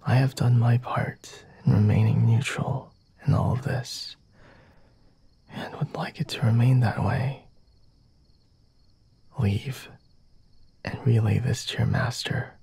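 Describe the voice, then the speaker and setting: A man playing a flirty, self-assured vampire lord in an ASMR roleplay, speaking softly and formally in a breathy, often whispered voice with a teasing manner. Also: medium pitch, steady tone, takes it slowly, pauses often, full character voice. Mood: cool, commanding. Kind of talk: ASMR roleplay, intimate dramatic monologue.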